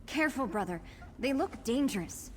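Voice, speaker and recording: An actress voicing a composed, artistic young sister in a fantasy video game, with formal, measured phrasing. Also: female voice, fairly high pitch, animated, long reverb tail, slight background noise, natural voice. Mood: wary.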